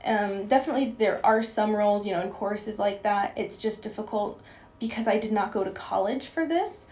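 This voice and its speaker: A woman of twenty-two, a guest singer on a radio show, explaining clearly and filling gaps with "you know". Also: medium pitch, brisk, a little mellow, roomy sound. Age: young adult. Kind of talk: interview answers.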